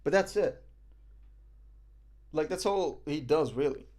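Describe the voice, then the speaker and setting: A man's voice: a little high, animated, slow, darkish tone. Chatty young man streaming live. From Morocco. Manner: rambling, profane, joking, many fillers. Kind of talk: casual live-stream commentary.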